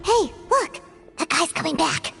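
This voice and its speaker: A woman voicing a small, chirpy female fairy-like companion in a video game, talking in a high, excitable, blunt way. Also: a little slow, vocal fry, soft background music, exaggerated voice. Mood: alert.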